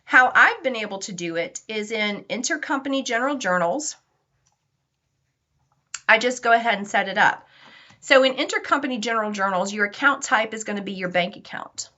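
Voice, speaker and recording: A woman leads an informal software tutorial in a bright, crisp-edged voice at a medium pitch. She explains each step in a conversational, instructive manner. The recording is a little dull and lo-fi.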